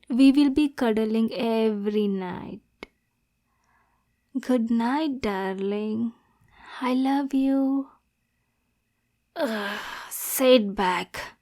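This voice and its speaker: A woman playing an obsessive, lovesick demon girl in an ASMR roleplay, clingy and possessive, addressing the listener directly. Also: on the high side. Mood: coy, soft. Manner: breathy, clingy, swinging between tender and domineering.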